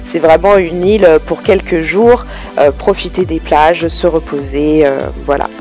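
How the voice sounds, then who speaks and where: A woman from France narrating a travel vlog in a relaxed, chatty way, with frequent hesitations. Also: sits low, measured pace, over music. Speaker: travel vlogger.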